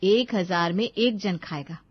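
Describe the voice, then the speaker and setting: A woman's voice: fairly low pitch, measured pace, breathy edge, dry recording, dull audio. A woman playing a caring, thrifty middle-aged mother in a cartoon moral story, reasoning and scolding in a homely way.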